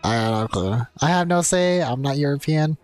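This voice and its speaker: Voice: mid-range voice, expressive, normal speed. A streamer chats casually while playing, in a mid-range voice. The delivery is musing and question-filled, with light game music behind it. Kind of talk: casual live gameplay commentary.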